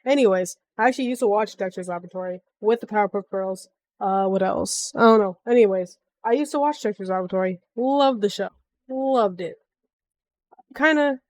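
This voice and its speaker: A woman's voice: mid-range voice, darkish tone. A woman streamer reacting to a video in casual chat, blunt and informal with slangy swearing.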